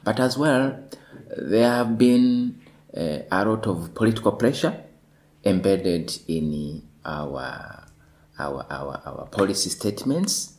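A lawyer in his thirties answering interview questions deliberately, often repeating words as he searches for them. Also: on the low side, animated, darkish tone. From northwestern Tanzania.

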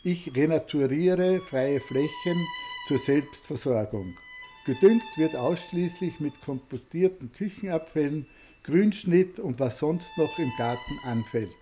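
Older man in his 70s, calm plain statement, voice a touch high, music bed underneath. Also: unhurried. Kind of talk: short scripted statement.

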